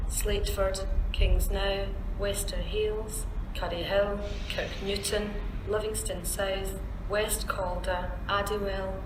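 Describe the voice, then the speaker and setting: A woman reading scripted railway announcements in a formal, measured, clipped manner over a station public-address system. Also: mid-range voice. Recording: echoey station tannoy, distant, loud wind noise. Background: wind and station ambience.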